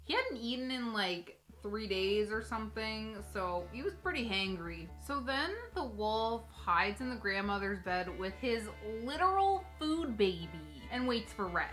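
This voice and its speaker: A woman's voice: a little high, expressive, measured pace, hardly any pauses, a bit bright. A woman hosting a casual, comedic fairy-tale retelling, chatting to viewers with wry asides. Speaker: host, storyteller. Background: faint music.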